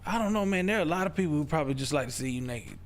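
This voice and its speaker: A man's voice: on the high side, animated, racing, gravelly, a little hiss or hum. A man hosting a casual comedy podcast, joking loosely with stop-start phrasing and sometimes dropping to a whisper for a bit. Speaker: podcast host.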